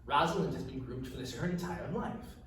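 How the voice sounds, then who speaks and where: A man's voice: medium pitch, expressive, brisk, a bit bright, lightly acted. A man voicing a stern, haughty noblewoman and demanding mother in a storybook reading, cool and insistent. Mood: indignant, insistent. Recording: echoey room, distant mic, faint noise.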